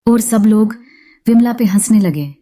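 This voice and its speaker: A woman's voice: a little high, expressive, a little slow, dark tone. A woman narrating a scripted horror story as its storyteller.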